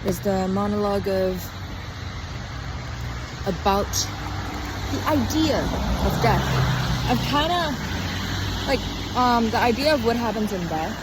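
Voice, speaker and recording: A tired young woman podcaster talking casually in a halting, rambling diary style, often trailing off mid-thought. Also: a little high. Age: young adult. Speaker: podcast host. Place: outdoors on a walk in a town. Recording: outdoor street noise with passing cars. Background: traffic and urban ambience.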